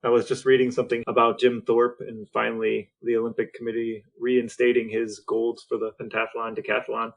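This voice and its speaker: A man's voice: medium pitch, completely flat delivery, a little fast, vocal fry, few pauses, poor audio. A man with an American accent co-hosting a podcast in casual, conversational discussion. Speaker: podcast co-host.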